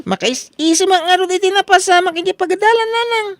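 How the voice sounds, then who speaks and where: Woman doing a high-voiced, sly little Ilocano schoolboy. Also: fairly quick, no echo. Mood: amused. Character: mischievous, giggly young schoolboy prankster. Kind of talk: comedy radio drama acting.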